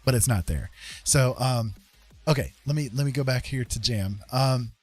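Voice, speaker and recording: A man's voice: mid-range voice, moderate pace. A man livestreaming in a casual, conversational way, explaining things to his viewers. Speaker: streamer.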